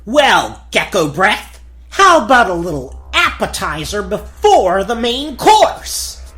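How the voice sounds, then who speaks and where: Cartoon prince, voiced by a man: young, bright-toned, all bluster and nerves, music faintly behind. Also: sits high, slow, voice pushed up, exaggerated voice. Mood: cocky. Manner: excitable, stammering. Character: cowardly, blustering young prince, easily flustered. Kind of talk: cartoon gag-dub parody.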